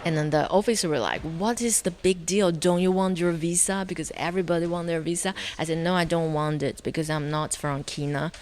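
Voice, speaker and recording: Low-pitched woman with a Taiwanese accent. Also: fairly even intonation, a little mellow, slight background noise. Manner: halting, searching for words. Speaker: interview guest, film director. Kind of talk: conversational interview answers.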